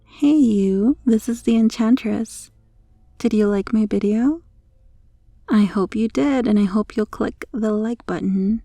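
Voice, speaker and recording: A woman's voice: a little high, measured pace, warm tone, no echo. Female ASMR channel host, friendly scripted outro addressed directly to the audience.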